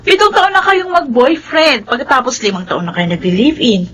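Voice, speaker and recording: A woman's voice: high-pitched, big pitch swings, normal speed, fairly bright tone, slightly rough, full character voice. A woman playing a concerned, probing confidante in a radio drama. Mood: concerned, exasperated.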